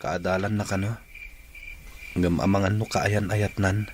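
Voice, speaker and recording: An actor with an Ilocano Filipino accent playing a lovesick, brooding young student and respectful son in a radio drama. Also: male voice, deep voice, flat delivery, unhurried, darkish tone. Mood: brooding, hurt. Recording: clearly noisy track with background ambience.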